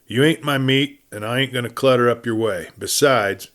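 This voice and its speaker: A man's voice: medium pitch, moderate pace, fairly bright tone, close to an everyday voice. A man voicing a small, careful, hard and sly sheriff with folksy western drawl in a storybook western. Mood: wry, frank.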